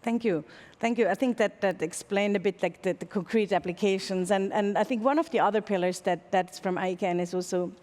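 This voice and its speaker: A woman's voice: mid-range voice, a little fast, a little mellow. A woman moderating a panel who is losing her voice and apologizes for it. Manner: apologetic, hands over to panelists. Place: conference hall. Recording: long reverb from a large hall.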